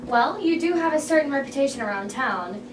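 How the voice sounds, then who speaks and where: Woman as modest, polite costume maker. Higher female voice, roomy distant recording. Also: lively intonation, fairly quick. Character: polite, self-deprecating young woman costumer. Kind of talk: scripted dialogue at a table read. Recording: echoey room, distant mic, clear noise.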